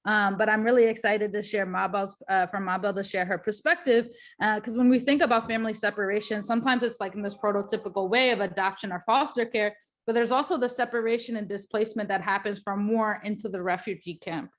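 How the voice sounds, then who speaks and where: A woman's voice: medium pitch, steady tone, quick pace. A woman moderating a panel, introducing the next speaker in a casual way with many fillers.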